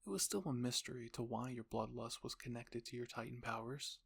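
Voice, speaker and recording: A man's voice: medium pitch, a little fast, gravelly, fairly bright tone, husky. A man narrating a scripted roleplay story, addressing the listener in the second person.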